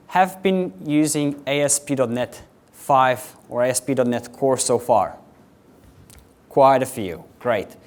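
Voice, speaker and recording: A young man from Slovenia with a Slovenian accent, giving a quick, chatty technical conference talk to a live audience. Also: mid-range voice. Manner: quick, chatty presenter with filler words, addressing a live audience. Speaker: conference speaker.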